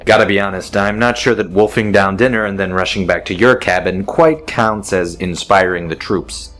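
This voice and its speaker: A man's voice: sits low, normal speed, fairly bright tone, soft background music. A man voicing a weary, dutiful male colonel and new wing commander in a fan audio drama, dry and wry.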